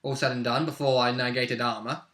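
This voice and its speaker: A man's voice: medium pitch, level tone, brisk, a bit bright. A man chatting casually and jokily as a player looking back on a tabletop roleplaying game. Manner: jokey, chuckles often as he talks. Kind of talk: casual chat.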